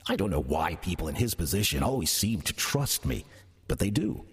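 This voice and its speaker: An actor playing a sly, ancient demon posing as an old church usher, wry and sardonic, with an American accent, in an audio drama. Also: male voice, low voice, very animated, gravelly, slightly rough, full character voice. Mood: wry confiding. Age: older. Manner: wry, sardonic, deliberate storytelling. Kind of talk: audio drama first-person narration.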